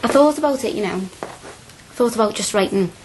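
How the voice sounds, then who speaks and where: A woman's voice: mid-range voice, expressive, moderate pace, a little mellow, slight background noise, natural voice, poor audio. A woman playing a frank, earnest young working-class student in a radio drama, plain-spoken and colloquial. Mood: earnest, reflective.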